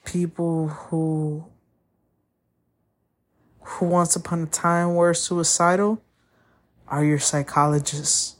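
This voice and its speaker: A woman whose first language is Spanish, hosting a podcast and talking candidly about a breakup, often pausing to find her words. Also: deep voice, fairly even intonation. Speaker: podcast host. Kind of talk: personal monologue.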